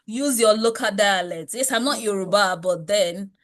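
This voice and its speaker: A woman's voice: medium pitch, moderate pace, a bit bright. A woman leading a motivational money class, speaking emphatically and preachily with many rhetorical questions. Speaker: wealth coach.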